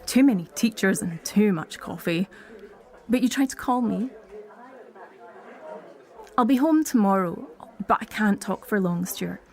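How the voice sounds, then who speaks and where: A woman's voice: medium pitch, animated, measured pace, long pauses, darkish tone. An actress playing a prickly, sardonic woman in a naturalistic audio drama, speaking bluntly. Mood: casual, chatty. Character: prickly, sardonic Scottish woman wheelchair user named Elsa.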